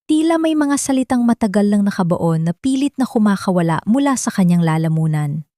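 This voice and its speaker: A woman's voice: medium pitch, expressive, brisk, mellow, dry recording, clean studio sound. A woman narrating a scripted dramatic story as an audiobook narrator.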